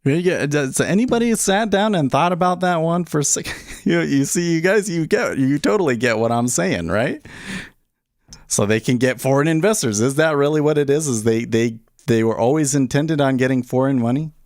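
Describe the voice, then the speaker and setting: A man's voice: on the high side, animated, normal speed, no echo, studio quality. A man hosting a livestream as a day trader, thinking aloud in casual, conversational talk and often checking in with his listeners.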